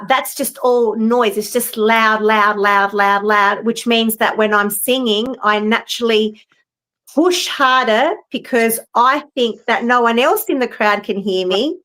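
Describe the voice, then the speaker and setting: Middle-aged woman singer, fairly high voice, chatting casually over a video call, meandering and full of fillers. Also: bright, forceful tone. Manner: rambling, many fillers and restarts. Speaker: professional singer. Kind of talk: casual roundtable chat. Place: home, live-streamed video call.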